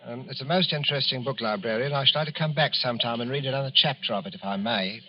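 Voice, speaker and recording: A man's voice: mid-range voice, fast, a crowd in the background, lightly acted. An actor playing a meek, middle-aged English travelling salesman in a 1940s radio drama, hesitant and apologetic. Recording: muffled 1940s radio recording.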